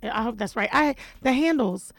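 A woman hosting a radio show in casual chat, with a deep raspy voice that is a little hoarse today, and an animated way of giving shout-outs to listeners. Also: moderate pace, no echo, faint music behind. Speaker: radio host.

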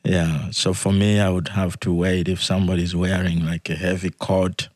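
A man telling his life story as an interview guest, talking in a halting, soft-spoken way with frequent restarts. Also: low-pitched, level tone, no echo, clean studio sound. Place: podcast studio.

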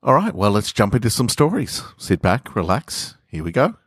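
A man's voice: fairly low pitch, very animated, moderate pace. An Australian man hosting a storytelling podcast, speaking close to the microphone. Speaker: podcast host and author reading own stories. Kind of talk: casual intro and measured literary story reading. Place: garage.

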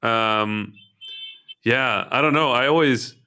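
A man from Egypt hosts a casual interview with a mid-range voice. He talks haltingly, full of ums and restarted phrases. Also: medium pitch, sharp tone, clean tone. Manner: hesitant, frequent ums and restarts. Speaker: podcast host.

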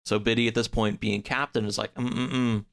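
A man's voice: lowish voice, little pitch movement, normal speed, gravelly, very noisy. A man in a casual chat, retelling a story in a rambling way peppered with "like" and acting out the characters' lines. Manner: acts out characters' lines in quotes. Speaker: podcast guest.

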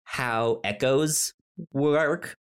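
A man co-hosting a podcast in casual chat, halting and often repeating words as he restarts. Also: mid-range voice, clean tone. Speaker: podcast co-host.

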